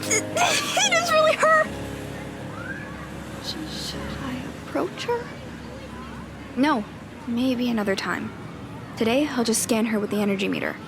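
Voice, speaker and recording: A woman's voice: sits high, a little slow, pauses often, over music, exaggerated voice. A woman playing a stubborn, self-important teenage girl who investigates the paranormal in an audio drama. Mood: flustered, awed. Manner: self-important, expressive, exasperated.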